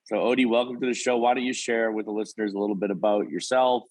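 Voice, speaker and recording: A man's voice: lowish voice, steady tone, fast talker, darkish tone, dry recording, low-quality recording. A man hosting a podcast, prompting his guest with friendly questions and short reactions. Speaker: podcast host. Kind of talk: casual interview.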